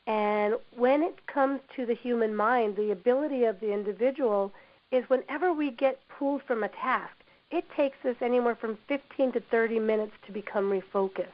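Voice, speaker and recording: A woman with a hoarse voice from a cold, presenting a workplace training webinar in an encouraging manner, often saying "you know". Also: fairly high pitch, normal speed. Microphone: computer mic on a webinar call. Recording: dull lo-fi web audio.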